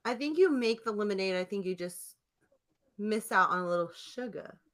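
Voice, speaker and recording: A woman's voice: mid-range voice, lively intonation, moderate pace, a bit bright. A woman co-hosting a podcast in a casual chat, talking in a rambling, teasing way. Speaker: podcast co-host.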